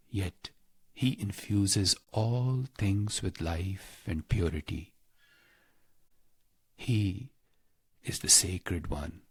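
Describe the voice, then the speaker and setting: A man leading a scripted spiritual guided meditation in a calm, measured, reverent manner with deliberate pauses. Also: lowish voice, slightly rough, no echo.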